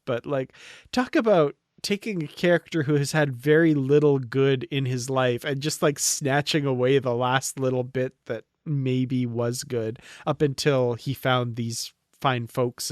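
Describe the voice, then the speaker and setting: A man's voice: mid-range voice, a little slow, dry recording, clean studio sound. A man co-hosting a podcast, talking through a review in a casual, conversational way. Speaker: podcast co-host.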